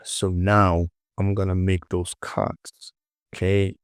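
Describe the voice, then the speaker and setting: A man giving an informal software tutorial, muttering short clipped instructions to himself and often repeating words. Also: low-pitched, level tone, slow, smooth, no echo, clean studio sound. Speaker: 3D modeling tutorial presenter.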